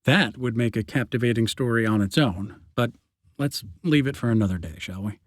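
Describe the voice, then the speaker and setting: A man's voice: mid-range voice, normal speed, no echo. A man narrates a first-person story for an audiobook. His delivery is wry and conversational, with a sarcastic edge. His voice sits in a middle register, and the recording is close and dry.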